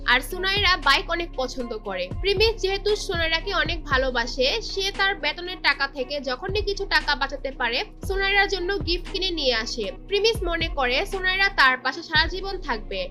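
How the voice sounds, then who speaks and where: Female storyteller, dramatic scripted narration, sharp tone, rapid pace, light background music. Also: extremely high pitch, expressive.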